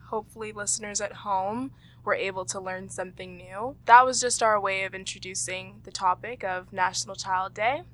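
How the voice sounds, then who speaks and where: A woman's voice: mid-range voice, a bit bright, low-quality recording. A woman with a Canadian accent hosting, presenting quiz questions and leading a group discussion. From Toronto, Canada. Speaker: host and quizmaster.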